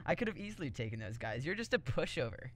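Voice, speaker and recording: A man voicing a brash, hot-headed teenage volleyball player in a comedic anime parody dub, blunt, sarcastic and excitable. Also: a little high, quick pace, a little hiss or hum. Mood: smug banter. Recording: music playing behind the voice.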